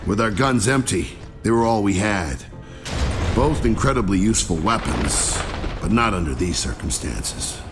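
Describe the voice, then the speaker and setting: An actor playing a grim, weary soldier recounting a battle as testimony in a video game. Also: male voice, on the low side, lively intonation, normal speed, close to an everyday voice. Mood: grim, wry. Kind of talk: dramatic video game narration. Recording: very loud music behind.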